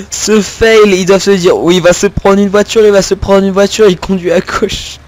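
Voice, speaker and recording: A young man from northern France giving casual, rambling gameplay commentary, full of fillers and little laughs at himself. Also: high voice. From Picardy, northern France. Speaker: gaming video creator.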